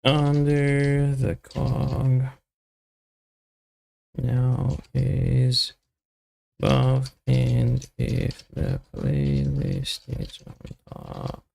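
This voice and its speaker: Slow, very creaky man thinking aloud. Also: medium pitch, flat delivery, a little mellow. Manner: halting, muttering, half-finished phrases. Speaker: live-stream coding host. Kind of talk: thinking aloud while live coding.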